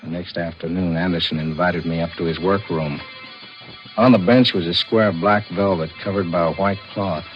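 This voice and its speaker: A man's voice: low-pitched, steady tone, moderate pace, natural voice. An American actor playing a scheming ex-convict forger who tells his own crime in hardboiled 1940s radio thriller style. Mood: grim, brooding. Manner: hardboiled first-person narration and dialogue. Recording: muffled vintage radio recording with music.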